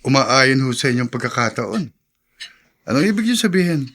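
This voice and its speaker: Radio drama role: respectful young man, mid-range voice, gently reasoning. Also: mid-range voice, big pitch swings, moderate pace, a little hiss or hum. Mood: curious. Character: kind, respectful young grandson.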